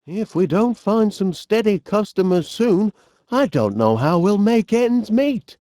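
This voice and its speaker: An actor playing a cheery, absent-minded middle-aged inventor with a Northern English accent, bumbling and chatty in an animated comedy game. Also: male voice, high voice, measured pace, dry recording, studio quality. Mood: genial musing. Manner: bumbling, chatty, hesitant, mild exclamations.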